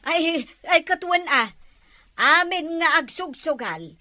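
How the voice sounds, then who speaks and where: A woman's voice: very high voice, animated, slow, sharp tone, no room sound, heavily acted. A woman with an Ilocano accent in a comedy sketch, urgently reporting news.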